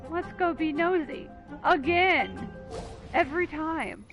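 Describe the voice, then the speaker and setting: A woman's voice: very high-pitched, lively intonation, takes it slowly. A woman giving casual, sarcastic and teasing commentary as she plays a video game. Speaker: gaming streamer. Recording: game music behind the voice. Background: video game music.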